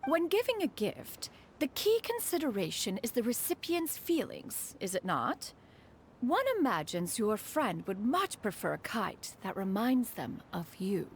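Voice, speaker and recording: A woman's voice: medium pitch, very animated, a little slow, a little hiss or hum. A woman voicing a proud, ageless bird immortal in a game cutscene, formal and haughty yet caring. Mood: wise, kindly. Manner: formal, stately, refers to herself as one. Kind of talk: video game cutscene acting. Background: music.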